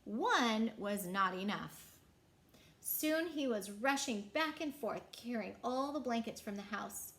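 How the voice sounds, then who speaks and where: A woman storyteller reads a picture book to young listeners. Her voice is fairly high and highly animated in pitch, with deliberate, dramatic phrasing that stretches key words. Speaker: children's storyteller. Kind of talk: expressive picture-book read-aloud.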